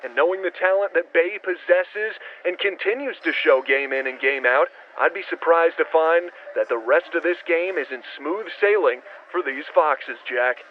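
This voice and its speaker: An actor playing an excitable play-by-play basketball broadcaster in an audio drama, calling a game like sports radio. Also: male voice, fairly high pitch, normal speed, few pauses, lightly acted. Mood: brisk, assured. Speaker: sports commentator. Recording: dull radio-style broadcast with noise.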